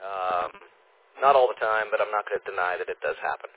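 A man checking into an amateur radio net with a quick, easygoing reply. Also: sits low, husky. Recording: tinny radio band with faint hiss.

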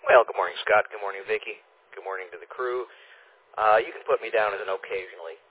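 Male radio caller, rapid talker, easygoing, tinny radio band. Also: on the low side.

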